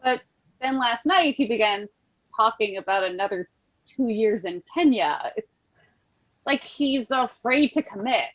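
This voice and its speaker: High, lively, hopeful young woman, played by an actress. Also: a little slow, low-quality recording. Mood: hopeful, uncertain. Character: Jill, hopeful young woman frustrated with her noncommittal boyfriend. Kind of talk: musical theatre table read. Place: recorded remotely online.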